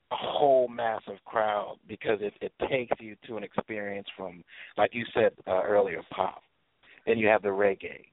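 A man's voice: on the low side, gravelly, no room sound. A man chatting casually and effusively as a guest caller, repeating himself like a hype man. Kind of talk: casual promotional chat. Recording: tinny phone line.

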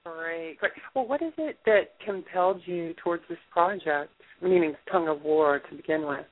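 A woman host with a slight head cold, speaking in a measured, polite way as she introduces a guest and interviews him. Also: fairly low pitch. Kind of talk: reading an introduction, then interviewing. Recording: narrow phone-line audio.

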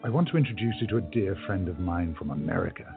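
A man playing a courtly, resolute time-travelling gentleman in a radio play, with formal, emphatic speech. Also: low voice, expressive, quick pace, gravelly, phone-quality sound, music in the background. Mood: courteous, effusive.

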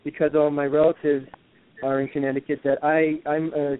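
A man's voice: a little high, flat delivery, a little fast, no echo. A man in his fifties from New York, hosting a casual discussion and talking earnestly, often searching for his words. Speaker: host. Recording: tinny phone-like line with faint noise.

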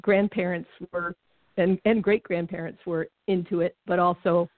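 A woman's voice: fairly low pitch, steady tone, normal speed, no echo. A middle-aged woman from Connecticut, a guest on a talk show, chatting casually and laughing often. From Connecticut, New England. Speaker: guest, former baseball team executive. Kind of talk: casual conversational interview. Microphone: phone call. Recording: tinny phone line, dry.

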